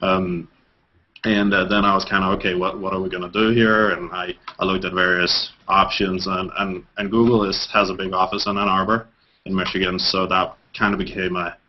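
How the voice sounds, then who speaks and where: A man from Denmark in his thirties, answering interview questions over a video call. His voice sits very low, and he stumbles through restarts and fillers. The audio is dull and lo-fi. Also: flat delivery, moderate pace. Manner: hesitant, many fillers and restarts. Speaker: interview guest.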